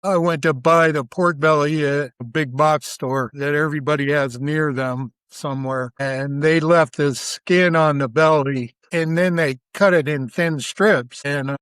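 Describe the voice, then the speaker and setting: A man's voice: a little high, steady tone, unhurried, few pauses, a bit bright, clean tone, dry recording, clean studio sound. A middle-aged man with an American accent, an interview guest casually telling stories about his work. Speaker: interview guest, barbecue cook.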